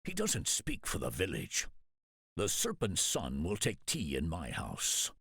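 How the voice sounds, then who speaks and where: A man playing a grave, devoted samurai retainer in a video game, with a husky voice and a measured, earnest delivery. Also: low voice, wildly swooping pitch, fairly bright tone, no room sound, studio quality, heavily acted. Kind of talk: dramatic video game cutscene acting.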